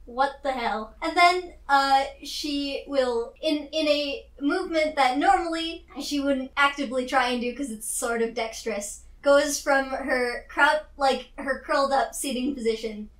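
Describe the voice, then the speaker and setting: A woman playing a tabletop role-playing game, narrating casually in an animated, giggly, rambling way. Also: high voice, measured pace, hardly any pauses, roomy sound.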